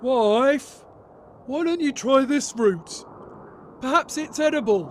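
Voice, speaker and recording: Man putting on a high-voiced coaxing husband. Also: lively intonation, slow, slight background noise, full character voice. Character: hungry ancient Chinese husband coaxing his wife.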